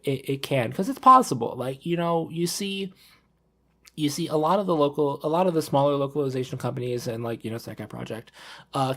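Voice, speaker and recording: A man co-hosting a podcast, chatting casually and giving opinions, informally rephrasing his points as he goes. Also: medium pitch. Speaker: podcast co-host.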